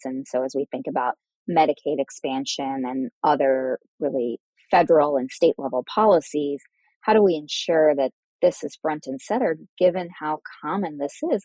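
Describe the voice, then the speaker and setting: A woman's voice: sits low, dark tone, no room sound, poor audio. A woman speaking as a podcast host in a formal medical interview.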